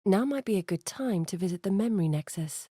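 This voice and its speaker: Brooding woman scholar, earnest, soft mellow tone, very dry close sound, theatrical video game delivery. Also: medium pitch, animated, brisk, breathy edge, dry recording. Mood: scheming. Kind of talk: dramatic video game voice acting.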